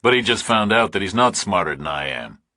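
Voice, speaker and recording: A man's voice: deep voice, sing-song, brisk, bright, forceful tone, no echo, studio quality, exaggerated voice. A man playing a laconic, unflappable tough investigator, matter-of-fact, in an audiobook thriller. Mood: calm, assured.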